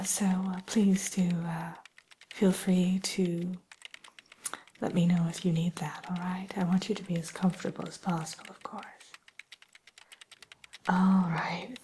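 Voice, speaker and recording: A woman softly whispering an ASMR roleplay as a polite, hesitant matchmaker who soothes and defers to her client. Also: fairly low pitch, fairly even intonation, a little slow, slight background noise, close to an everyday voice. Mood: hushed, tactful. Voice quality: breathy, mostly whispered. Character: polite, accommodating female matchmaker at a dating service for villains.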